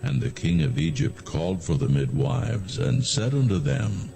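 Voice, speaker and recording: A man giving solemn, measured scripted narration of scripture as a storyteller. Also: mid-range voice, big pitch swings, gravelly, warm tone, soft background music.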